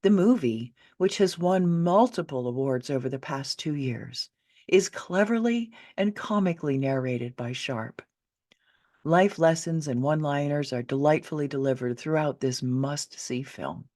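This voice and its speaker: A woman's voice: deep voice, unhurried, warm tone. A woman reading aloud from her own nonfiction book in an emphatic, indignant manner, with rhetorical questions and sarcastic asides.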